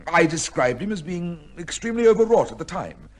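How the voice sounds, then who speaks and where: Haughty judge, old radio play, formal, touchy, fairly high voice, animated. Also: male voice, a little hiss or hum. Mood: defensive. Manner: imperious, formal, quick to take offence. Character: proud, indignant High Court judge under suspicion. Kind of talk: old-time radio drama acting. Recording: old radio drama recording.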